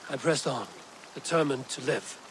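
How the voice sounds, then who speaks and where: Man acting firm, measured Viking leader. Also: mid-range voice, a bit bright, slight background noise, lightly acted, low-quality recording. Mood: solemn, consoling. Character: adult Viking clan leader, stern, measured, compassionate. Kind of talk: video game cutscene acting.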